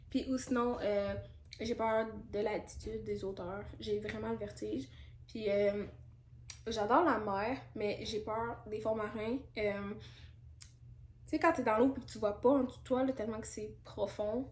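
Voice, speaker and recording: A woman's voice: on the high side, darkish tone. Chatty young Quebec woman, echoey room. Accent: Quebec French. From Canada. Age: 20s. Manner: chatty and candid, with frequent fillers and asides. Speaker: YouTuber. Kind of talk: casual Q&A vlog.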